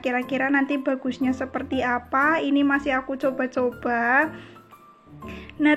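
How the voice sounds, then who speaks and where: A high-pitched woman with a Javanese Ngapak accent narrates a homemaker vlog in a casual, chatty way. Her intonation stays fairly flat as she addresses viewers like friends. The voice-over sounds slightly dull, with music playing underneath. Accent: Javanese Ngapak (Banyumasan, Cilacap). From Cilacap, Central Java, Indonesia. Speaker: homemaker vlogger. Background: background music.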